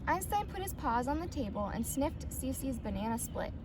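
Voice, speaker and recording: A woman narrating a children's picture book aloud in an expressive storytelling manner. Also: sits high, moderate pace. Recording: distant mic, loud outdoor ambient noise.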